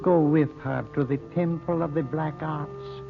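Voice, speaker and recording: A solemn, ancient mystic teacher in an old radio serial. He speaks very slowly and ceremoniously in a fairly high male voice, over a thin, phone-like recording with music behind. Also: steady tone, creaky. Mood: commanding. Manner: archaic, ceremonious, measured speech. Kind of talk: 1930s-40s radio drama acting.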